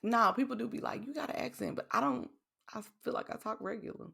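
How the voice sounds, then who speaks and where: A woman from Texas co-hosting a podcast in casual chat, animated and slangy, laughing often. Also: on the high side, fast talker, gravelly, husky. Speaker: podcast co-host.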